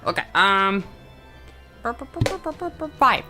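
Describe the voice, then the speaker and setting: A woman's voice: on the high side, sing-song, normal speed, over music. A woman playing a tabletop game, chatting casually and playfully with little made-up sound words.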